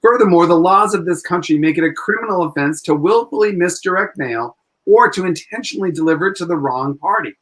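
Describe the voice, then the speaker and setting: A man plays a persistent young-sounding defense attorney in an old-time radio drama. His voice sits fairly high, and he argues with earnest courtroom formality. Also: animated, a little fast, few pauses, a bit bright. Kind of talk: 1940s-style live radio play acting.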